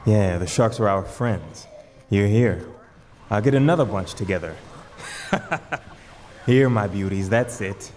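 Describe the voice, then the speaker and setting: An actor playing a rough, terse lighthouse keeper in a radio drama table read. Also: male voice, fairly low pitch, expressive, takes it slowly, pauses often, a little mellow. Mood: gleeful, taunting. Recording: roomy long reverb, faint noise.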